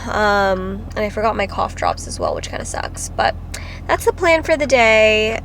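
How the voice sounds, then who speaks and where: A woman's voice: medium pitch, huge pitch swings, moderate pace, fairly bright tone, slight background noise. A woman, a travel vlogger chatting casually to the camera, a little congested from a cold, with lots of "like" and "um". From Philippines. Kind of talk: casual vlog talk to camera. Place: driving around and outdoors in summer.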